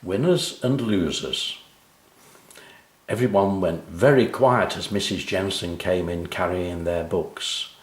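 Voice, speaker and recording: A man's voice: deep voice, slow, reverberant. A man narrating a children's story expressively, speaking directly to young listeners. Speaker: author and narrator.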